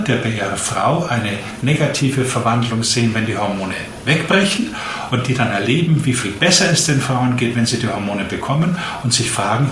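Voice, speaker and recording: A man in his late fifties, a doctor, giving long, measured explanations in an interview. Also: mid-range voice, a bit bright, echoey room, a little hiss or hum. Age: 50s. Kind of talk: interview answers.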